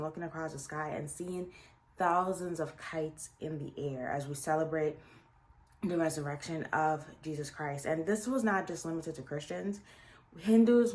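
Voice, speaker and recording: A young adult woman from Guyana with a Guyanese accent, chatting casually as she introduces her series, often restarting sentences. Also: on the low side. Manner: chatty, with frequent restarts and fillers. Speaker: series host.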